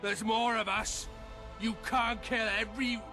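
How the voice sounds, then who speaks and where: An actor playing an angry, coarse peasant rabble-rouser in a video game, rustic and belligerent. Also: male voice, high-pitched, little pitch movement, a little slow, music in the background. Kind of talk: video game dialogue acting.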